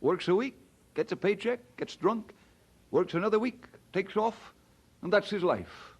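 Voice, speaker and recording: High-voiced, husky, earnest young minister. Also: male voice, measured pace. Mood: earnest, compassionate. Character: earnest, troubled young male minister, humble and compassionate. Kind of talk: radio drama acting.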